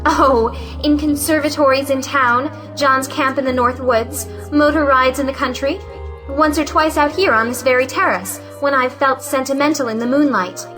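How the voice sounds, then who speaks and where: A woman's voice: high voice, normal speed, music in the background, natural voice. A woman playing a frank, defiant young unmarried lady, earnest and plaintive, in a theatrical audio play. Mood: bitterly frank. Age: young adult. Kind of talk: theatrical audio drama acting of a 1917 play.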